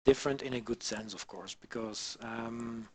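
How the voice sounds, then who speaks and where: A man from Belgium chatting casually with his live-stream viewers on a headset mic while modelling, halting and full of fillers. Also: mid-range voice, darkish tone, husky, no echo, low-quality recording. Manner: halting, many fillers, answers chat viewers. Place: home in the Alpine region.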